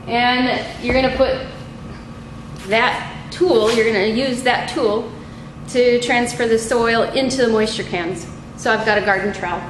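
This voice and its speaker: A middle-aged woman with a slightly high voice teaches a hands-on lab procedure. She is methodical and halting, taking her time over each step. The camera's microphone picks her up from a few feet away, with clear noise behind her. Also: steady tone. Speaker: college lab instructor. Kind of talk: instructional lab demonstration, unscripted. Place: small indoor lab room. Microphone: camera mic a few feet away. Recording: echoey room with clear background noise.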